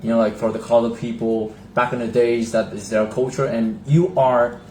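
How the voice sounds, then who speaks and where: Halting man in an echoey room. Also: medium pitch, fairly even intonation, a little mellow. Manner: halting, full of fillers and restarts. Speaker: co-host. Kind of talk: casual podcast chat. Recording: echoey room, faint hum.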